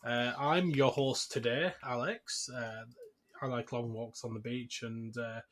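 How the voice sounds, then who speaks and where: A man's voice: medium pitch, steady tone, unhurried, a bit bright, slight background noise. A man hosting a podcast, talking through a film in a casual, chatty style. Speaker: podcast host.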